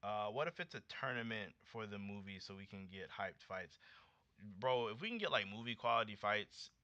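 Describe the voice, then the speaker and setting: Bright, forceful young American man livestreaming. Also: lowish voice, moderate pace, dry recording. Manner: slangy, animated, conversational. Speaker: livestream host. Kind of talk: casual livestream chat with viewers. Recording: dull lo-fi streaming audio.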